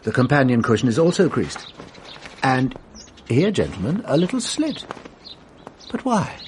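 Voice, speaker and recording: Theatrical, shrewd detective inspector, animated. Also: male voice, medium pitch, slight background noise. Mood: shrewd, intrigued. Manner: deliberate, teasing, theatrical. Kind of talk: radio drama acting.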